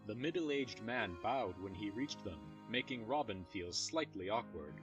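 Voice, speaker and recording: Male storyteller for scripted audio drama narration; fairly low pitch, music bed underneath. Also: expressive, measured pace, dull audio.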